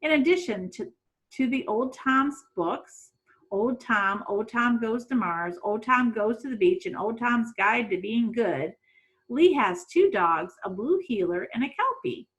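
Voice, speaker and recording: A woman reading a children's picture book aloud in a dramatic, deliberate storytelling manner. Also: a little high, lively intonation, a bit bright, poor audio. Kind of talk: expressive storybook read-aloud.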